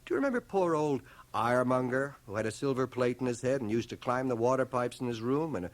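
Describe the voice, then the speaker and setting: An actor playing a dry, ironic schoolmaster of nearly fifty in a radio drama, speaking in a measured, pedantic, sardonic way. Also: male voice, mid-range voice, lively intonation, close to an everyday voice. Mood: mock-innocent. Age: middle-aged. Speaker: actor, narrator and lead. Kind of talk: 1980 radio drama acting. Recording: home cassette transfer of radio broadcast.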